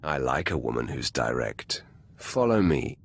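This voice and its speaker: A cold, smug Sith master for a video game. His voice is extremely low and gravelly, with imperious, lively swings in intonation. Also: full character voice. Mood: dry amusement. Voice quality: very deep, gravelly. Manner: measured, imperious, dryly suggestive. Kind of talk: scripted video game acting.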